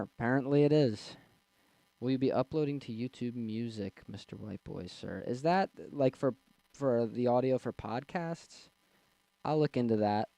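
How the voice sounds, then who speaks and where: A man in his twenties with a young, slightly squeaky voice, casually rambling and answering chat on a livestream. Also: warm tone, no room sound. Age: 20s. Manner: rambling, with fillers and restarts. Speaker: streamer reading chat. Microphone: desk mic.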